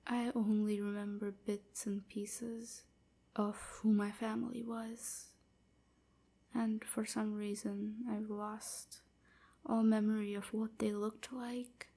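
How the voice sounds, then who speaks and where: A woman playing a sorrowful young enslaved vampire in an intimate audio roleplay, speaking softly and haltingly, often near a whisper. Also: mid-range voice, little pitch movement. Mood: wistful, grieving. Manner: halting, wistful confiding.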